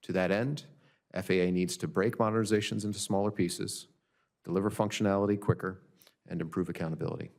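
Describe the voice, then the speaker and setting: A man reading a prepared formal statement as an expert witness at a government hearing, in a measured, matter-of-fact way. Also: low-pitched, steady tone, vocal fry. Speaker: government audit director testifying as a witness. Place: legislative hearing room.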